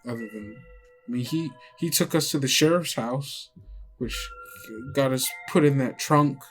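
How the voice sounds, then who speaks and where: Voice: medium pitch, a little slow, soft background music, natural voice. A game master voicing a sullen, distrustful girl companion who is blunt and skeptical.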